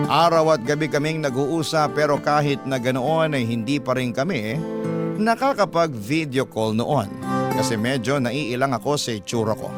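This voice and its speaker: A man working as a radio host, narrating a heartfelt first-person letter in a dramatic storytelling style. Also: a little high. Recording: music bed behind voice. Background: prominent guitar music.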